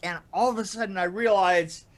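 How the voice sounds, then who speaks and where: An older man telling anecdotes casually in a rambling, halting way, chuckling as he goes. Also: sits high. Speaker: podcast storyteller guest. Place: indoor room on a video call.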